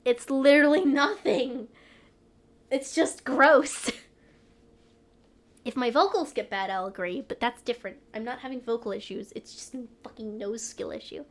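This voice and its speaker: Young woman streaming from home, stuffy nose, rambly chat, kinda high voice. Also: normal speed. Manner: rambling, trailing off, frequent laughs. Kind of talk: casual live-stream chat.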